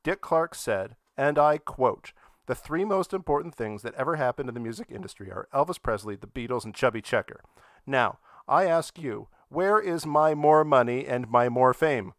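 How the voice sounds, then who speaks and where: A man with a medium-pitched voice speaks as a podcast panelist. The sound is close and dry, and the style is casual, made for chat and comic read-alouds. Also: expressive, normal speed, a bit bright, dry recording.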